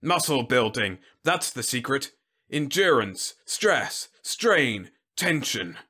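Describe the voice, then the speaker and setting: A man's voice: mid-range voice, very animated, slow, sharp tone, husky, voice pushed up, exaggerated voice. A man voicing a big, overconfident, bullying army general in an audiobook story, speaking in an emphatic, blustering, snapping way. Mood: blustering, scornful. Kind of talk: audiobook character acting.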